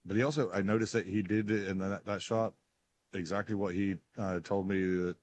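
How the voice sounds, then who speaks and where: A man casually commentating a live pool match stream, chatty and loud-voiced, on a headset mic in a bar. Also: deep voice, fairly even intonation, brisk, no echo. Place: bar near a pool table. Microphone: headset mic with headphones.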